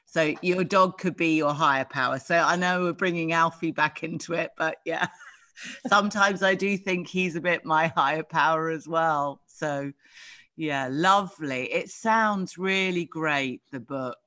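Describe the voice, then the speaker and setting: A host chats in a casual interview, encouraging the guest with constant backchannels and bright exclamations. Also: medium pitch, measured pace. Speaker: podcast host.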